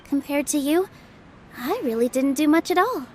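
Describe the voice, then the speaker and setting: A woman playing a gentle, modest young theater dancer in a video game, with a polite, friendly manner. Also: high-pitched, expressive, normal speed, a little hiss or hum. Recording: game dialogue over clear background music. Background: music.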